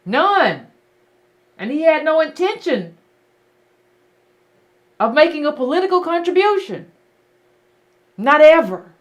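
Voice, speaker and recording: A woman hosts a call-in show, and she talks bluntly and with emphasis, hammering the same points again and again. Her voice sits a little high and moves widely up and down. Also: big pitch swings, slow pace, pauses often, muffled recording. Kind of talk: casual call-in talk.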